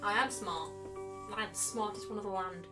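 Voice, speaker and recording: A sassy young jester from a visual novel acted out by a woman. He is smug, teasing and theatrical, sure of himself. The voice sits at a medium pitch with music clearly behind it. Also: fairly even intonation, normal speed, lightly acted. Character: sassy, self-assured young male jester with secrets.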